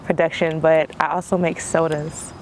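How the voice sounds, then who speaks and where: A young man, born in Hawaii and raised in Georgia, talks as a guest in a casual interview chat, relaxed and slangy and laughing easily. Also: fairly high pitch, moderate pace, slight background noise, poor audio.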